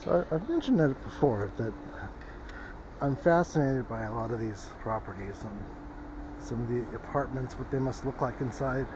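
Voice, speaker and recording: A man's voice: medium pitch, lively intonation, normal speed. A man narrating a casual walking tour outdoors, rambling and thinking aloud with a few restarts. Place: outdoors on a city street. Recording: dull lo-fi street recording with traffic noise. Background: street traffic.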